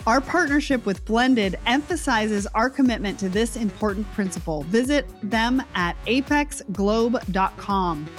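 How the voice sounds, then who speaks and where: A woman hosting a podcast panel, reading sponsor messages and then moderating in a clear, upbeat way. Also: on the high side, animated, measured pace, hardly any pauses, faint music behind. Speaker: podcast host.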